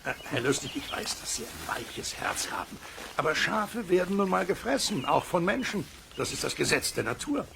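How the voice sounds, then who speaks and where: A man's voice: high voice, sing-song, normal speed, vocal fry, heavily acted. A man playing a blustery, self-satisfied and easily alarmed neighbour in a German children's radio play, pompous and emphatic. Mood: smug, matter-of-fact. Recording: noisy cassette transfer of radio play.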